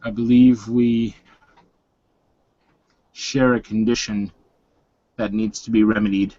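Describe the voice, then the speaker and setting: A man plays a gruff, teasing werewolf pack leader in a tabletop roleplay. He talks very slowly and dryly in a lowish voice, on dull, close-sounding audio with no room in it. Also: flat delivery, mellow, dry recording. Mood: deliberate, teasing.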